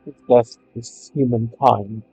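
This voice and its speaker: A man's voice: medium pitch, steady tone, slow, gravelly, mellow, breathy edge, soft background music, poor audio. A man reading a video game's story aloud, stumbling over some lines, with casual commentary. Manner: stumbles over read lines, re-reads phrases. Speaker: video game story narrator and player.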